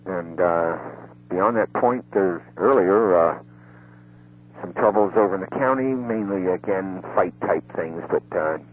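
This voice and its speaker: A man's voice: sits low, a little slow, slightly rough. A man with an American accent giving a casual, rambling live radio report on the police and fire beat, full of hesitations. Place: out in the field, by radio link. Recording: noisy muffled two-way radio link, hum.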